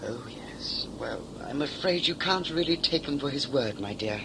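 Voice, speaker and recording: A man's voice: mid-range voice, lively intonation, moderate pace, vocal fry, background noise. A man playing a smug, talkative villain in a sci-fi audio drama, a covert saboteur who is condescending and mock-polite. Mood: smugly polite.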